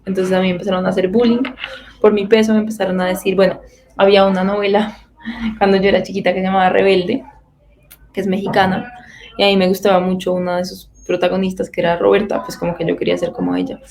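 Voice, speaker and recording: A woman's voice: mid-range voice, quick pace, a little mellow. A woman in her twenties from Bogotá with a Colombian accent, earnestly telling her personal faith story with frequent fillers and restarts. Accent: Bogotá Colombian Spanish. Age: 20s. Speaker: testimony speaker.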